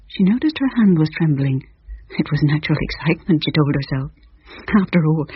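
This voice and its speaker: A man's voice: on the high side, husky, no room sound. A man narrating a radio drama with a wry storytelling manner, on dull, dry old audio. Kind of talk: scripted narration.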